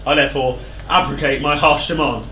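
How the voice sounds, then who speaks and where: Young guy playing proud touchy king in a stage tragedy, bright high voice, echoey theatre. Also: steady tone, brisk. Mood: furious. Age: young adult. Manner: declamatory, indignant. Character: proud, suspicious, hot-tempered king. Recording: echoey theatre with background noise.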